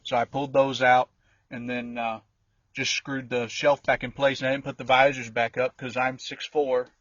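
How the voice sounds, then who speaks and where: A man's voice: medium pitch, little pitch movement, moderate pace, fairly bright tone. A man giving a casual, folksy handheld walkthrough, talking informally and rambling a little as he explains things. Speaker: vehicle DIY video creator. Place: in and around a pickup truck cab. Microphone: handheld phone or camera. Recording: dull lo-fi audio, roomy echo.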